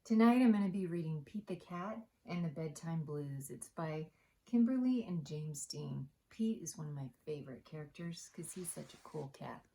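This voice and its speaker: A woman reading a picture book to young children as storytime host, singsong and deliberate, with asides to the listeners. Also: low-pitched, expressive, warm tone. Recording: echoey room.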